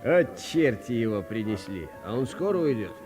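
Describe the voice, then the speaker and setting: An actor playing a wry, hungry petty clerk in a 1950s Russian radio play, teasing and expressive. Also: male voice, on the low side, moderate pace, music in the background.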